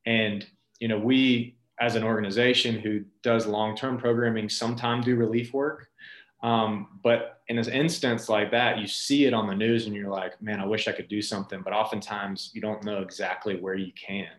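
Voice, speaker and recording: A man's voice: fairly low pitch, fairly even intonation, normal speed. A man speaking as a nonprofit leader and interview guest, telling stories in an earnest, informal, conversational way. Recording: roomy echo.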